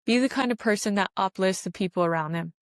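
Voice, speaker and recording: A woman with a medium-pitched voice records a solo spiritual podcast close to the mic in a very dry space. She speaks in earnest, exhorting the listener and repeating key phrases so that they land. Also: quick pace, no room sound. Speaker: podcast host. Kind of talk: spiritual self-help podcast monologue.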